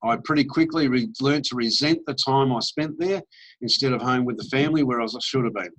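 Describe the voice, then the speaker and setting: A man's voice: mid-range voice, fast, a bit bright, poor audio. A middle-aged Australian man giving an upbeat, persuasive sales presentation with casual fillers. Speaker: network marketing presenter.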